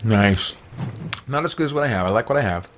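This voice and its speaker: A man's voice: on the low side, lively intonation, brisk, a bit bright, a little hiss or hum. Casual man thinking aloud as he games. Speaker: gamer playing and commenting on a role-playing game. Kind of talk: casual let's play commentary.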